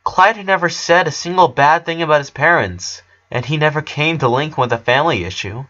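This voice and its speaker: Bright-voiced man narrating a story. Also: on the high side, a little fast, highs cut off. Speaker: narrator of a fan fiction story.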